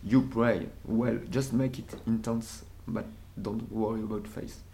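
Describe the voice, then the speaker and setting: French-accented male guest, gravelly, halting, faint noise behind. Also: lowish voice, steady tone, mellow. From France. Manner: halting, searching for words, frequent restarts and fillers. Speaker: performer and interview guest. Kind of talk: casual interview.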